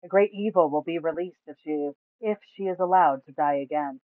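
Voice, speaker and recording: A woman voicing a small, solemn female spirit in a fantasy story, speaking in measured warnings. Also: sits low, dry recording, close to an everyday voice. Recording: thin, phone-like sound.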